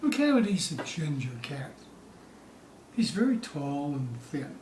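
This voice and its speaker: Man, theatrical poetry recital, fairly high voice, suspenseful drawn-out phrasing, roomy distant recording, faint noise. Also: big pitch swings. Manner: dramatic, suspenseful, drawn-out phrasing with hushed dips and loud peaks. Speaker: poetry reader. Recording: distant, echoey room with faint hiss.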